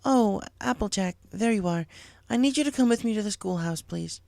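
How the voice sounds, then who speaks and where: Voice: medium pitch, animated, normal speed, creaky, a little mellow, no echo, studio quality, voice pushed up. A performer voicing a concerned, responsible female pony schoolteacher in a story reading. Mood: urgent, concerned.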